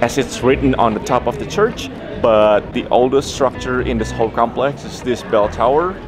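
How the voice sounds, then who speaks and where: A man's voice: mid-range voice, moderate pace, over music. A young man hosting a casual travel vlog outdoors, talking enthusiastically about what he sees. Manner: enthusiastic, marvelling. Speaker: travel vlogger host. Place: outdoors at a mountain monastery.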